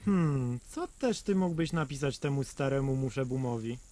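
A man's voice: mid-range voice, expressive, moderate pace, dark tone, close to an everyday voice. A man playing an irritable, fussy, bossy father who huffs and hesitates in a radio play. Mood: pondering, impatient. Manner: exasperated, huffy, hesitant when dictating. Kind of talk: acted radio play dialogue.

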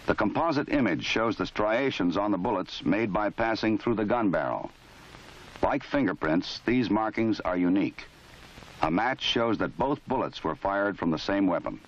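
An American man reading formal scripted narration for a training film in a measured, authoritative, matter-of-fact way. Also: on the low side, lively intonation. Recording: dull lo-fi 1970s film soundtrack.

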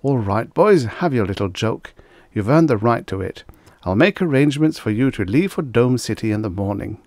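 A man's voice: medium pitch, expressive, normal speed, darkish tone, no echo, natural voice. A man voicing an effusive, pompous mayor who gushes as if making a grand speech. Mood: amused, dismissive. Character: effusive, pompous small-city mayor, a man, jovially disbelieving.

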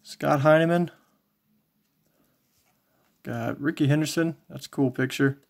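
A man casually commentating as he shows collectibles, in a low-key, folksy way, thinking aloud. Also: measured pace, gravelly. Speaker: trading card collector and video host. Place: indoors, small home tabletop setup.

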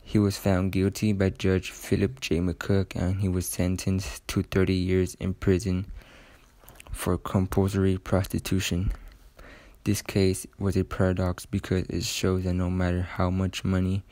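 Male news reporter, low voice, extremely flat scripted read. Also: a little slow, dry recording.

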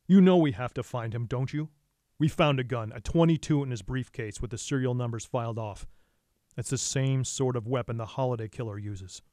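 An actor playing a brooding, driven masked vigilante detective in a radio noir, terse and demanding. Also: male voice, mid-range voice, fairly quick, warm tone, natural voice. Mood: stern interrogation. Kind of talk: radio noir acting and narration.

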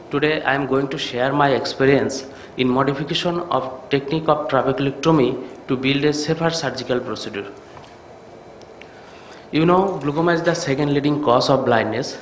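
A middle-aged man from Bangladesh, an eye surgeon giving a conference talk, speaking in an earnest, measured way at a podium microphone in a large hall. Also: medium pitch, slight background noise. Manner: earnest, measured, slightly halting. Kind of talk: conference lecture. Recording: echoey hall with faint ambience.

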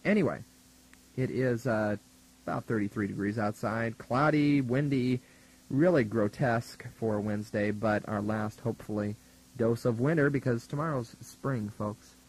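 A man's voice: mid-range voice, normal speed, a little mellow, husky, poor audio. A young American man working as a student radio DJ, announcing music and chatting in a casual, wry manner. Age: young adult. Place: radio studio. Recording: 1980s college radio aircheck tape.

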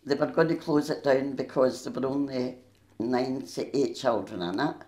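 An older woman with a gruff voice and a Glaswegian Scottish accent, chatting through her memories in an oral history interview. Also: rumbling low, normal speed, warm tone, reverberant. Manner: chatty storyteller, laughs easily. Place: small indoor room. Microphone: handheld mic held near her.

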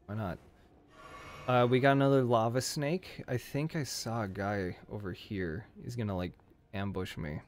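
Hoarse man joking through a game stream. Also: on the low side, normal speed, a little hiss or hum. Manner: jokey, talks to enemies on screen. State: hoarse from screaming. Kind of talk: casual gameplay commentary.

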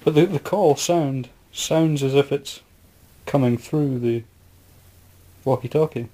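A man with a husky voice chatting casually in a living room while he films on a handheld camera. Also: mid-range voice, takes it slowly, dark tone. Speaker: amateur paranormal investigator filming the session. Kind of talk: casual chat. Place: dim living room at night. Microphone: handheld camera held by the speaker.

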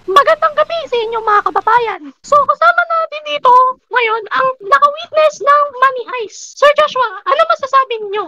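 A performer voicing an upbeat cartoon mouse news reporter with a pitched-up voice, presenting mock news in a gaming roleplay. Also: lively intonation, moderate pace, bright, forceful tone, dull audio, full character voice.

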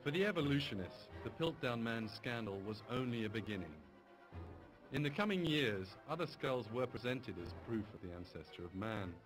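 A man giving measured, formal scripted documentary narration. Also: medium pitch, animated, poor audio. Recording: documentary audio over music.

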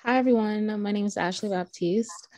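A woman's voice: mid-range voice, normal speed, a little mellow, dry recording. A woman from Trinidad introducing herself casually on a video call. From born in Trinidad. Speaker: participant.

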